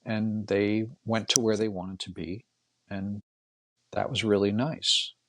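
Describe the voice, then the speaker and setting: An older man with a gravelly, husky voice, telling personal stories casually as a guest, in a reflective way with pauses between short phrases. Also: fairly low pitch, little pitch movement, dry recording. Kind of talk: casual storytelling in conversation.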